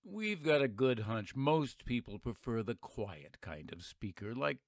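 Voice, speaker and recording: A folksy male radio-play narrator, voiced by an actor, with a widely varied, animated pitch and a close, dry sound. He speaks conversationally and wryly, leaning on his points with plain patriotic conviction. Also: measured pace, dry recording. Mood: wry, earnest. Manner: conversational, wry, emphatic. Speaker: narrator and host of an audio play.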